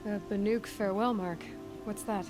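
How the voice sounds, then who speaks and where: An actress playing a curious, self-assured young huntress in a video game, speaking in an understated, conversational way. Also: female voice, medium pitch, steady tone, normal speed, music in the background, close to an everyday voice. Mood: probing, dry. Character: curious, self-assured young female outlander machine hunter. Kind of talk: video game dialogue acting.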